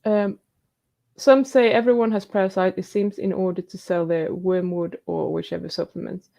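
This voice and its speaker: A woman's voice: fairly low pitch, steady tone, normal speed, creaky, warm tone. A woman hosting a live stream, reading out viewer questions and comments and answering them in a chatty, casual way. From New Zealand.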